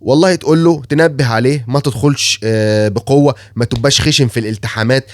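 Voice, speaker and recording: A man's voice: medium pitch, quick pace, no room sound, clean studio sound. A man with an Egyptian Arabic accent and a cold, giving a critical casual monologue about football, with many filler sounds and restarts. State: has a cold, hoarse. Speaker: football commentator.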